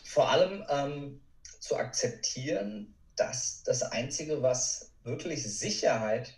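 A man's voice: medium pitch, expressive, slow. Man in a roomy, slightly distant recording. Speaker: podcast guest, coach and speaker. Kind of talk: conversational interview answers, motivational. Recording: roomy echo, distant from mic.

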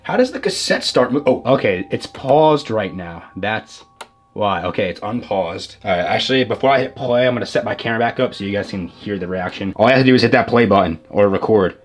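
A man in his twenties talking casually and excitably in slang to a camera in a bedroom. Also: lowish voice, brisk. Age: 20s. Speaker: vlogger. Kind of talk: casual reaction vlog. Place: small bedroom with desk.

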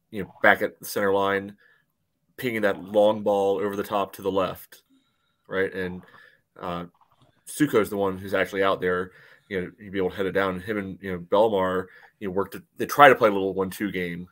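A man in a casual sports breakdown on a video call, explaining plays in a measured way with frequent "you know". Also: low voice, level tone, a bit bright. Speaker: podcast panelist. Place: indoor room, remote video call. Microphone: video call microphone.

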